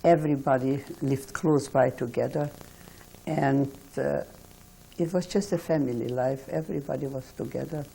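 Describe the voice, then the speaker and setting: A Hungarian-born woman in her eighties, recalling her life slowly and haltingly in an oral history interview. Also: very deep voice, fairly even intonation, gravelly, warm tone, a little hiss or hum, low-quality recording. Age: 80s. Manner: slow, halting recollection with restarts.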